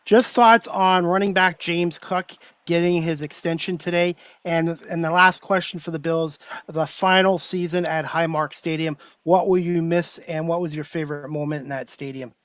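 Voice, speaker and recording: A man's voice: on the high side, steady tone, normal speed, few pauses, fairly bright tone, no echo. A man hosting a talk show, asking his guest friendly, plain questions. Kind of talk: interview questions.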